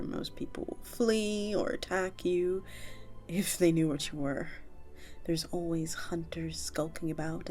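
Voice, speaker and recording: A woman's voice: on the low side, lightly acted. A woman voicing a friendly, nurturing vampire tavern keeper in an audio roleplay, chatting to the listener in a coaxing, conversational way. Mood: sympathetic, encouraging. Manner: animated, coaxing, conversational with little chuckles.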